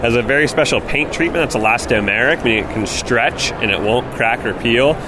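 A man representing a sandal company, giving an enthusiastic sales pitch about his product. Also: animated, brisk, background noise.

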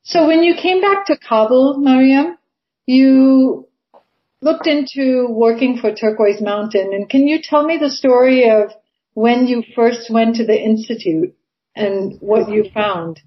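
On a slightly muffled, low-fidelity recording, a woman speaks as an interviewer. Her voice sits a little high as she puts questions to a guest. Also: unhurried. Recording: dull, lo-fi audio.

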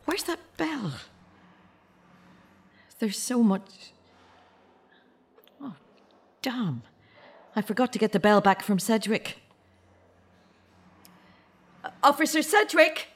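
A woman acting a bereaved, searching mother in an audio drama, naturalistic and halting, with anxious care. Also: fairly high pitch, sing-song, husky, heavily acted. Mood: flustered.

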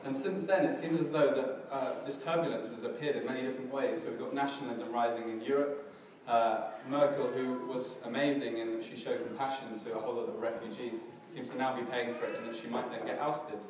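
A middle-aged man with a slightly hoarse, crackly voice, preaching a casual church sermon in a relaxed, self-deprecating way with many fillers and restarts. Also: mid-range voice, fairly quick, hardly any pauses, crowd noise. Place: church hall, in front of a congregation. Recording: distant, very echoey hall with background noise.

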